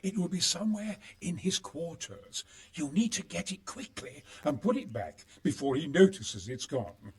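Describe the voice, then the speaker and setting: Crafty, highly animated male schemer. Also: normal speed, few pauses. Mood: sly, persuasive. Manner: sly, hedging, expressive. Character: crafty, conspiratorial male schemer sheltering in a monastery. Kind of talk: video game cutscene acting.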